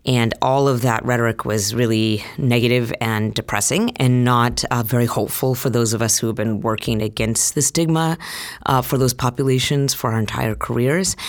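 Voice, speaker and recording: Earnest woman physician from Utah. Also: a bass voice. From Utah, United States. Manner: earnest, listing points in long chains. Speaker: physician and conference co-chair. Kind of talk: interview answer.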